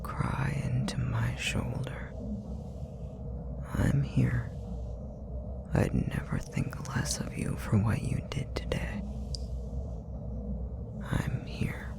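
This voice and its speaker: A man voicing a possessive, manipulative demon in an intimate ASMR roleplay, whispering with a gravelly fry in a deliberate, taunting way. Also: medium pitch, wildly swooping pitch, exaggerated voice. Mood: soothing, tender. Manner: slow, deliberate, taunting, condescending. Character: possessive, manipulative male puppetry demon, coldly menacing.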